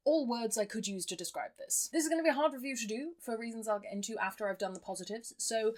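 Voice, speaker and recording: A woman's voice: a little high, fast. A woman giving a casual, chatty review with wry understatement, speaking as a reviewer to her audience. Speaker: book reviewer. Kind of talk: casual scripted-free book review to camera.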